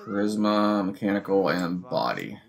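Hoarse man musing over gameplay. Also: low voice, normal speed. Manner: relaxed, musing half to himself with soft hums. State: hoarse from a head cold. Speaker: let's play host. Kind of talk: casual gameplay commentary.

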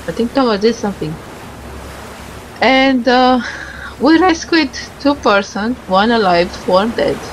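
A woman's voice: fairly high pitch, noisy background. A woman doing casual game commentary, reading a visual novel's dialogue aloud and stumbling over some words with self-corrections and asides. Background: music.

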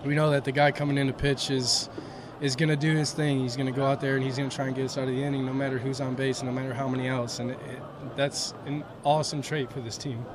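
A young man, a college baseball pitcher, answering post-game questions modestly outdoors at a ballpark, with lots of "you know" fillers. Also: flat delivery, fast talker. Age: young adult. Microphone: handheld mic held by interviewer. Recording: outdoor noise around the mic.

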